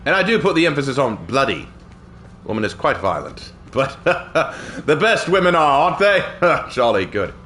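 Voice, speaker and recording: Man doing a hammy posh British toff for a game stream: bright, high, lively, put-on accent, snobbish and foul-mouthed. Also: normal speed, soft background music. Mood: gruff boastful. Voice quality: hammy, swearing, self-mocking. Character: pompous, snobbish, violent old-school British gentleman. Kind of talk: live gameplay commentary in character.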